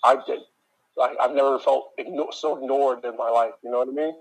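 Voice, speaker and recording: A deep-voiced man telling a work story in an exasperated, ranting way, speaking directly to his listeners. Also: fairly even intonation, brisk, breathy edge, poor audio. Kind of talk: casual chat.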